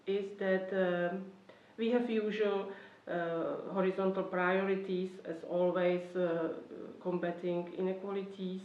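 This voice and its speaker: A woman from the Czech Republic with a Czech accent gives an explanatory talk as a government official, choosing her phrases carefully and haltingly in non-native English. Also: lowish voice. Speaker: government youth policy official. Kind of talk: explanatory talk for an online course. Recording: roomy, distant, slightly dull microphone.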